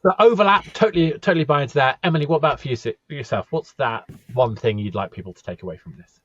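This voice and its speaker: A man's voice: medium pitch, fast talker, a bit bright, breathy edge, no room sound, muffled recording. A man hosting a podcast, chatting casually with guests and laughing easily. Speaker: podcast host.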